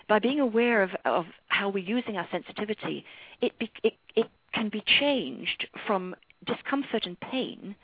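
A middle-aged woman with an English accent speaks in a husky voice, as a guest explaining spiritual ideas in an interview. The sound is dry and slightly dull, like lo-fi radio. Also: mid-range voice, no room sound. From London, England. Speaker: guest numerologist and author.

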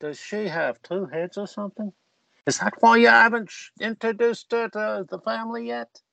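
A man's voice: sits high, fairly even intonation, normal speed, creaky, bright, forceful tone, no echo, full character voice. A man playing a joking eldest brother who teases his younger sister. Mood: teasing. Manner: teasing, jokey banter. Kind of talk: amateur audio drama acting.

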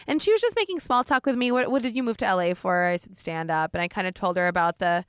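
A young woman hosting a casual podcast interview, candid and quick to laugh, swearing freely. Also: lowish voice, clean tone, dry recording, clean studio sound. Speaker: podcast host. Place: comedy shop at a party.

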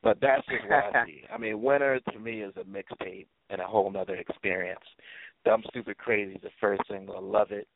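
A man's voice: fairly low pitch, lively intonation, a little fast, gravelly, a little hiss or hum. A man chatting casually and effusively as a guest caller, repeating himself like a hype man. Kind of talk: casual promotional chat. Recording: tinny phone line.

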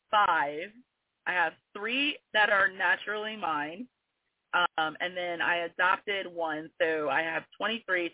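A woman's voice: lowish voice, no room sound. A woman in her forties with a Southern accent touched by New Orleans, a guest poet chatting openly and laughing often in an interview. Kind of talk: casual interview conversation. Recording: tinny phone-line audio.

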